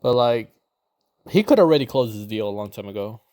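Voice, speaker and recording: A man's voice: on the low side, animated, fairly quick, darkish tone. A man giving casual reaction commentary, rambling and slangy, swearing often and breaking into bursts of mock outrage.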